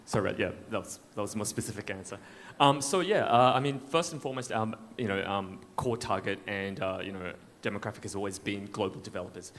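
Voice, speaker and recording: Guy on a conference panel, lowish voice, big echoey room, informal q&a answer. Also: a little fast, fairly bright tone. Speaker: panelist representing a blockchain foundation. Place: large event space at a conference. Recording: echoey noisy hall, event PA.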